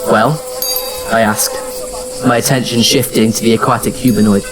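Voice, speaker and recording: A man narrating a scripted audio drama in the first person, with measured, serious storytelling. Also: mid-range voice, fairly even intonation, over music. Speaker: narrator and lead actor.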